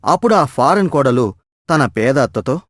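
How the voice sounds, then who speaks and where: A man's voice: medium pitch, big pitch swings, normal speed, dry recording, studio quality. A man narrating a scripted moral story as a storyteller.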